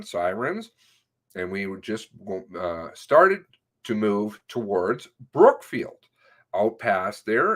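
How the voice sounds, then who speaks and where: A man reading an interview transcript aloud in a halting way, sometimes breaking off with asides of his own. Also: on the low side, fairly bright tone. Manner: halting, often breaking off to comment on the text.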